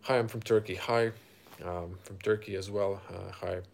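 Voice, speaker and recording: A man from Croatia with a Croatian accent, casually chatting to viewers as a car reviewer on a live stream, halting and thinking aloud. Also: low-pitched, fairly even intonation, creaky, a little mellow. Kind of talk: casual unscripted chat with viewers.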